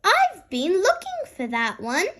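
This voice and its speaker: Bumbling daddy pig, acted by a woman, earnest, slow and deliberate, lively intonation, storybook character. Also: very high-pitched, pitched above the performer's own voice, exaggerated voice. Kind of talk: children's storybook read-aloud.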